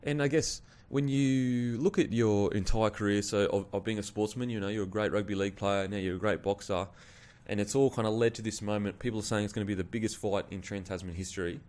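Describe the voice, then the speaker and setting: A man's voice: on the low side, steady tone, fairly quick, hardly any pauses, darkish tone. A man with an Australian accent hosting a casual interview, asking loose, friendly questions. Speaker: podcast host.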